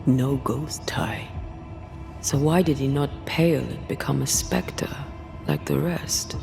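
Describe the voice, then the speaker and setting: A woman playing a grave, resolute ghost investigator in a video game, speaking solemnly and deliberately. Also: rumbling low, steady tone, vocal fry, over music, heavily acted. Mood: pensive. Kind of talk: video game drama acting.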